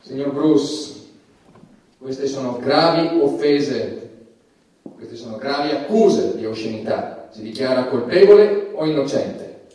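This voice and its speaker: A man playing a stern, formal judge in a theatrical courtroom drama, speaking deliberately and admonishingly. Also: mid-range voice, animated, mellow. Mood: stern, accusing. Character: stern, formal male judge presiding over obscenity trial. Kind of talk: theatrical courtroom stage acting in Italian. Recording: echoey theatre hall, distant microphone.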